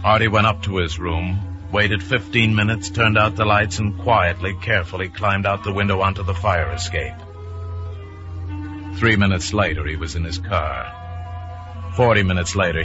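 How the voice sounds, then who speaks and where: A man narrating a suspense story in a dramatic, scripted radio style. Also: deep voice. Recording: muffled vintage radio recording with music. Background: music.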